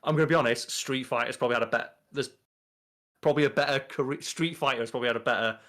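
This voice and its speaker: A young man co-hosting a podcast in casual chat, talking in an animated, profane way. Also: mid-range voice, racing. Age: young adult. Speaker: podcast co-host. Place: bedroom, on a video call.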